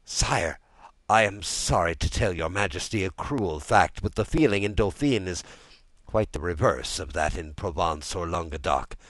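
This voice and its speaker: A man's voice: sits low, animated, moderate pace, a bit bright, dry recording. A man voicing a zealous but tactful, deferential royal adviser in an audiobook, courteous and careful. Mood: respectful, earnest. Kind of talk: audiobook character dialogue.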